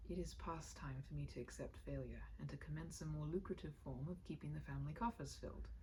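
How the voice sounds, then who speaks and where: Woman as a weary, strained young gentleman husband. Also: low-pitched, slight background noise, natural voice. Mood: resigned. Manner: halting, formal, strained self-control. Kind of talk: dramatic storybook read-aloud.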